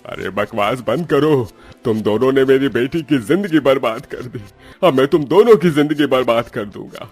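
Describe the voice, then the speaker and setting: A man's voice: medium pitch, lively intonation, moderate pace, a little mellow, slightly rough, soft background music. A man voicing an outraged, vengeful father in a cartoon story, angry and threatening. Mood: furious.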